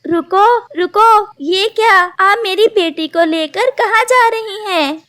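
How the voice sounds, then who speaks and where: A woman voicing a gentle, anxious cartoon mother bird who speaks in an earnest, pleading way. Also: extremely high pitch, takes it slowly, pitched above the performer's own voice, exaggerated voice. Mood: alarmed. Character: gentle, anxious mother bird devoted to her little daughter.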